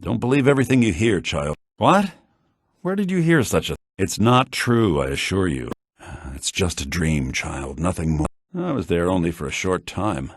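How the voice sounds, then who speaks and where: An actor playing a calm, stern magic mentor in a fantasy video game, measured and authoritative, in a hushed manner. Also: male voice, deep voice, no echo, clean studio sound, full character voice. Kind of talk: video game character dialogue.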